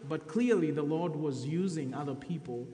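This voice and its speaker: A man's voice: fairly high pitch, normal speed, dark tone. Kenyan male preacher in his thirties, earnest testimony, roomy sanctuary sound. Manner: earnest testimony, reading scripture aloud. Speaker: guest preacher. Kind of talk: sermon. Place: church sanctuary. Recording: echoey church hall.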